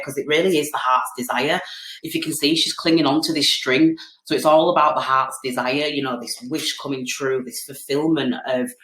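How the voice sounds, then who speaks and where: A woman's voice: low-pitched, a bit bright. A British woman with a bit of a cold gives a casual tarot reading, chatty and full of "okay?" and "um". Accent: British English. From United Kingdom. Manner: chatty, repeats "okay?" and "um" often. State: has a cold, sniffly. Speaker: tarot card reader. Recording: echoey room.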